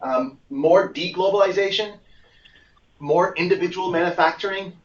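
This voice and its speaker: Male guest analyst, slightly high voice, measured and halting explainer, muffled call sound. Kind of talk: explanatory conference call commentary. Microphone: conference call line. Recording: muffled, echoey, far from the mic.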